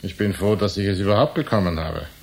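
An actor in a German radio drama playing an older uncle, secretive and wryly self-important, speaking in a hushed, conspiratorial way. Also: male voice, low voice, fast talker, vocal fry, slight background noise. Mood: wry, confiding.

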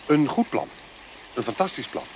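A man's voice: mid-range voice, big pitch swings, moderate pace, creaky. A man playing an ingratiating, hesitant schemer with flowery manners in a radio play. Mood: sly, evasive. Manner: wheedling, hesitant, flowery politeness. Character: smooth-talking male schemer, ingratiating old acquaintance, swindling petitioner. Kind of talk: Dutch radio play acting. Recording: noisy outdoor sound-effects ambience, horse clip-clop.

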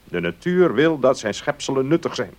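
An actor playing an opinionated gentleman baron in a radio play, arguing earnestly in a declamatory way. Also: medium pitch, animated, lightly acted. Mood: earnest, lecturing. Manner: declamatory, deliberate argument. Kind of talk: Dutch radio play acting.

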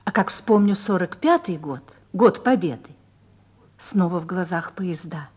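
An actress playing a sorrowful woman who remembers the war, speaking reflectively and at times in a hush, in a radio drama. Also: fairly low pitch, animated, measured pace, breathy edge. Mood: wistful remembrance. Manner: wistful, reflective, hushed at times. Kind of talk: theatrical Russian radio drama.

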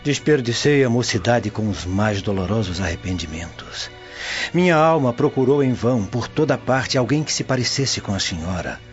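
An actor with a Brazilian accent playing a lovesick Roman prefect in a radio soap, declaiming floridly and with passion. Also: male voice, mid-range voice, expressive, normal speed, lightly acted. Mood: anguished longing. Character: lovesick, melodramatic Roman prefect pleading his love. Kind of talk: Portuguese-language radio soap acting. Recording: dull lo-fi audio under music.

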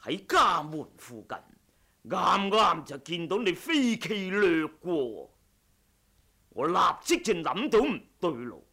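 An actor playing a cold, haughty martial-arts assassin in a radio drama, measured and sardonic in delivery. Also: male voice, high-pitched, sing-song, bright, forceful tone, slightly rough, heavily acted. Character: ruthless male wuxia assassin, cold and haughty. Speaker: audiobook voice actor.

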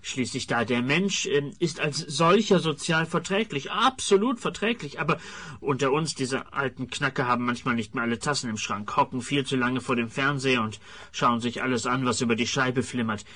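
Casting: self-important academic for radio comedy, husky mid-range voice, emphatic, callous. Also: male voice, mid-range voice, moderate pace, sharp tone. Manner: pompous, emphatic. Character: smug, jargon-loving male sociologist, blithely callous.

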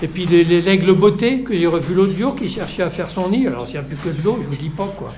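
A man's voice: sits high, lively intonation, fast talker, darkish tone, a little hiss or hum. A man playing an indignant environmental activist in a satirical radio fiction, sputtering, sarcastic and repeating himself. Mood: incredulous outrage. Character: indignant bird-loving environmental activist at a village meeting. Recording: echoey room with crowd murmur.

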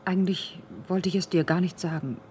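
A woman's voice: on the low side, fairly quick, a little mellow, a little hiss or hum, natural voice. An actress playing an earnest, worried woman pleading with her lover in a radio drama, speaking seriously and with some hesitation. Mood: anxious. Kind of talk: German radio drama acting.

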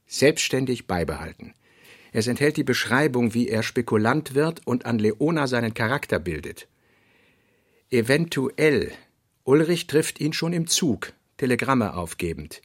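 A man with a mid-pitched, husky voice reads clipped, scripted notes for radio. His intonation moves up and down in a very lively way. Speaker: reader of author's working notes. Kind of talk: scripted reading of terse notes for radio drama.

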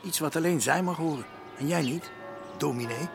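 A man's voice: on the high side, moderate pace, gravelly, over music. An actor playing a streetwise, easygoing policeman in a radio drama, familiar, bossy and condescending. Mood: sly, teasing.

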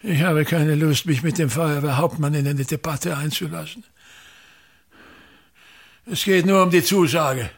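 Pompous, gravelly old Austrian thespian. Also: male voice, on the high side, unhurried, pauses often. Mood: imperious, indignant. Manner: theatrical, declamatory, haranguing, repeats words. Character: pompous, embittered older male theatre actor-playwright, domineering and vain. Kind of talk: radio play acting.

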